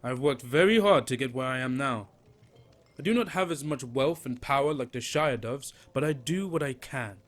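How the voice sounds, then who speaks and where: A man's voice: mid-range voice, soft background music, close to an everyday voice. Cryptic tavern watcher, as a man plays him. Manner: cryptic, slow and measured, asks leading questions.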